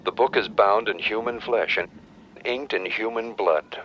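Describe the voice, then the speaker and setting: An actor playing a grave, scholarly archaeologist haunted by demons, speaking solemnly in a horror film's recorded journal. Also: male voice, fairly low pitch, dull audio, close to an everyday voice. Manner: solemn, measured, formal scholarly diction. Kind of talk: scripted horror film recorded-journal narration. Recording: noisy old reel-to-reel tape playback.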